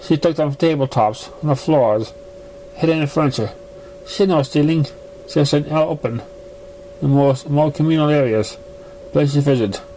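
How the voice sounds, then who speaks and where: A man with a slightly high, soft-edged mellow voice reads fiction aloud in a halting, stumbling way. It is an amateur recording with noticeable background noise. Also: rough recording. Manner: stumbling, halting reading with misread words. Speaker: amateur fan fiction reader. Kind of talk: reading a story aloud.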